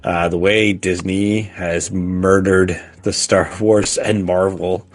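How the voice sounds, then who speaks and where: A man co-hosting a podcast in a casual, halting, conversational way. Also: sits low, level tone. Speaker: podcast co-host. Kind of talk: casual chat about video games.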